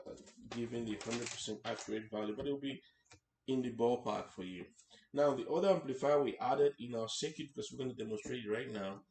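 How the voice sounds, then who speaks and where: A man teaching a hands-on technical tutorial in an informal way, haltingly, often repeating and rephrasing his words. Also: medium pitch, creaky, a little mellow. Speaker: instructor hosting an electronics tutorial series. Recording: echoey room, faint background noise.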